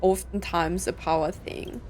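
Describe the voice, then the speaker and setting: A woman's voice: fairly low pitch, fairly even intonation, a little slow, darkish tone. A woman giving casual game commentary, thinking aloud in a musing, hesitant way. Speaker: gaming streamer. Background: game music.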